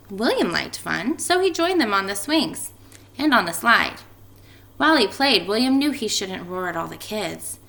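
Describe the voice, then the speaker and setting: A woman speaking as a teacher doing a storybook read-aloud for children, expressive and emphatic in her delivery. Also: mid-range voice, vocal fry. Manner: animated, expressive delivery with emphatic stretched words.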